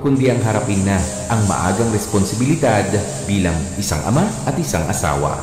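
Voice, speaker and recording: An actor as a young married man looks back on his life in an earnest, reflective way, as in a radio drama. His voice is fairly low. It rings in a very reverberant space over faint music. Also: a little mellow, close to an everyday voice. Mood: regretful. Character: young husband and father recounting his marital struggles. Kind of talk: radio drama narration, letter-like confession. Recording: very echoey, reverberant room, music behind.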